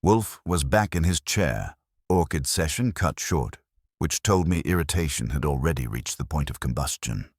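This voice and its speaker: Man, very low voice, husky, wry detective-story narration, hard-boiled delivery. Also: animated, normal speed, dry recording, studio quality. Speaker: audiobook narrator. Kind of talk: scripted first-person detective story narration.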